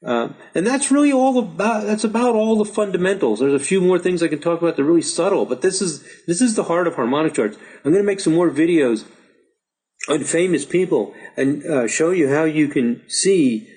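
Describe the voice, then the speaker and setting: A middle-aged man who is losing his voice, patiently walking learners through a software chart tutorial and urging them to practice. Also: on the high side, lively intonation, fairly quick, husky, poor audio. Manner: patient, repetitive, encouraging. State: slightly hoarse, losing his voice. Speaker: astrology teacher. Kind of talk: screen-share software tutorial.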